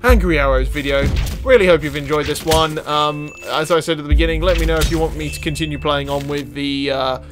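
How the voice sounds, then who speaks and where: A man's voice: a little high, steady tone, a little fast. A man hosting a gaming video with casual, jokey, self-mocking commentary, exclaiming and talking to the characters in the game. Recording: music playing behind the voice. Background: music.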